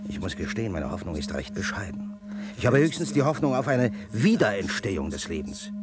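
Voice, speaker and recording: An actor playing an older, learned and bitter space-voyaging scientist in a science-fiction radio play, lecturing and sardonic. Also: male voice, on the low side, big pitch swings, brisk, over music. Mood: sober, pessimistic.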